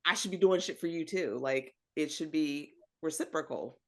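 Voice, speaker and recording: A woman's voice: deep voice, moderate pace, a little mellow. A woman hosting a podcast, talking in a laid-back, frank and unfiltered way in casual chat. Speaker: podcast host.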